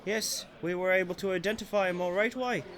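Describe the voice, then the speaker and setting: A man's voice: sits high, a little fast, a crowd in the background, natural voice. A man playing a jovial, dismissive police constable in a radio drama, chatty and amused. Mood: scoffing, amused.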